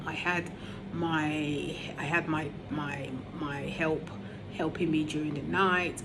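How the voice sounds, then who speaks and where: Mum vlogging baby tips, deep-ish voice, lots of ums, noisy room behind. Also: female voice. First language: Yoruba. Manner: chatty, with frequent ums and restarts. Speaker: parenting channel host, mother of two. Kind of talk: casual advice vlog. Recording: noisy ambience in background.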